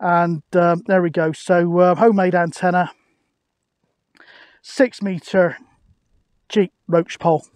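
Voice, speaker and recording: A man's voice: sits high, steady tone, slow pace, long pauses, darkish tone, no room sound. A British man chatting casually and matily outdoors, as a radio hobbyist making a video. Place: outdoors on an open hilltop.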